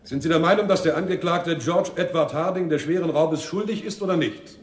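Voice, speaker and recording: A man's voice: a little high, brisk, faint music behind, lightly acted. A man playing a stern, formal court official in a German radio drama, declaiming ceremoniously. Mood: solemn. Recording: echoing courtroom acoustic.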